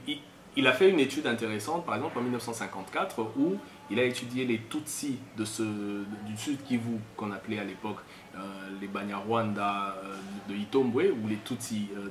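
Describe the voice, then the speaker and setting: Congolese-accented man explaining in an echoey room. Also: lowish voice. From DR Congo, Kasai region. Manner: didactic, step-by-step with repetition. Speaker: commentator on history. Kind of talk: unscripted explanatory talk. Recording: echoey room, faint background noise.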